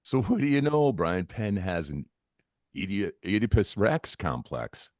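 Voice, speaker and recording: A man's voice: low-pitched, animated, unhurried, darkish tone, no echo, clean studio sound. A man reading the part of a sardonic, skeptical professor who teases, in a comedy table read. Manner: sardonic, teasing. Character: sardonic skeptical male professor needling religious housemates.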